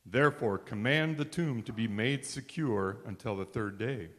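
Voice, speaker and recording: A scornful chief priest from a scripture drama, read by a man. The voice sits at a middle male pitch and is delivered as readers' theater. It rings through a hall with long reverb. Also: normal speed, close to an everyday voice. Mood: formal, urgent. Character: chief priests, scornful, mocking accusers of Jesus.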